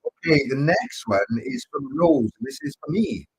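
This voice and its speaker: A man's voice: high-pitched, very animated, normal speed, gravelly, husky, rough recording. A man from Wales co-hosting a casual chat show, with a Welsh accent, joking and self-mocking with stumbling restarts. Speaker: co-host.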